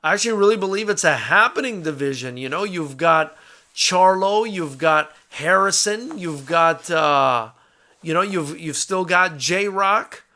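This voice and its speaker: An American man with a fairly high, very bright and forceful voice hosts a combat sports show. He talks casually and conversationally to his viewers, addressing them like brothers as he gives his take on fighters. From South Florida, United States. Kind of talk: casual live fight commentary, answering viewer comments.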